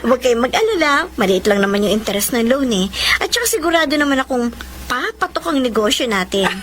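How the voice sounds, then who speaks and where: A woman's voice: sits high, sing-song, brisk, fairly bright tone, slight background noise. A Filipino actress playing a devoted, forgiving and earnest young mother in a radio drama. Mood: happy, excited. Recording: music bed behind the voice.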